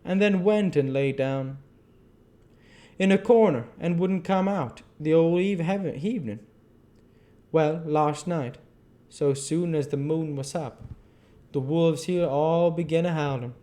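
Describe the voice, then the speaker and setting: A man's voice: fairly high pitch, dark tone. A man reading a classic novel aloud as an amateur narrator, stumbling and repeating phrases as he goes. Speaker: amateur audiobook reader.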